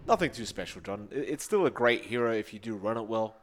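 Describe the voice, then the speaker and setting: A man's voice: lowish voice, expressive, fast talker. An Australian man doing play-by-play esports commentary with chatty, joking banter. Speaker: esports caster.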